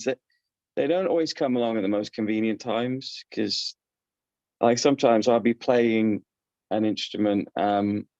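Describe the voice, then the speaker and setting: A man's voice: medium pitch, darkish tone, dry recording. A man from North Devon, a guest songwriter chatting hesitantly about his song. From North Devon, England. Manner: hesitant, many ums and restarts. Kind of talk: casual podcast chat. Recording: dull, dry sound.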